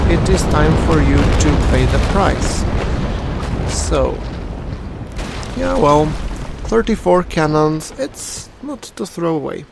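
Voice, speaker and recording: Male gameplay commentator, non-native English accent, slow halting delivery, gravelly fry, faint hiss behind. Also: a little high. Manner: slow and hesitant, many fillers.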